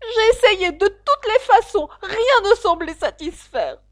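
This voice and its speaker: A woman voicing an anxious, shrill female pigeon guarding her eggs, shrieking and scornful. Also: lively intonation, unhurried.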